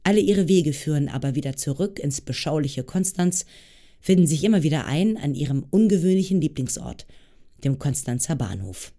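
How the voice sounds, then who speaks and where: A podcast host reading a scripted introduction in a measured, even way. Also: mid-range voice. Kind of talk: scripted narration.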